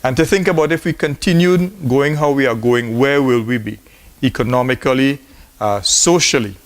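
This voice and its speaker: A man's voice: mid-range voice, normal speed. Earnest man giving an address. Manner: earnest, exhorting. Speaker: speaker at a university forum. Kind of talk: formal closing address.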